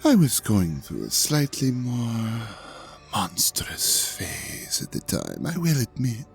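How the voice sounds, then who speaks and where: An ancient dragon god of an audio drama, acted out by a man, vain, suave and quietly menacing. He drawls at a very unhurried pace with a gravelly creak, slipping into breathy murmurs. Faint music plays behind his theatrical, mock-courteous speech. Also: mid-range voice, wildly swooping pitch, exaggerated voice. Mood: smug, amused. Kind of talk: scripted audio drama monologue addressed to the listener.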